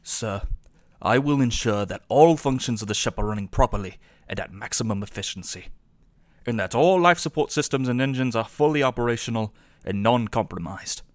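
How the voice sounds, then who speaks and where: A man's voice: mid-range voice, lively intonation, a little fast, close to an everyday voice. A man with an English accent playing a stiff, eager young male systems engineer who speaks in a formal, rehearsed way.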